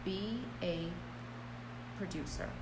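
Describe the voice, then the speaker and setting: Lady doing a live stream business pep talk, kinda low voice, echoey room w/ a hum going. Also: takes it slowly. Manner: chatty, frequent "um" and "okay?" check-ins. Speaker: business coach hosting a live video.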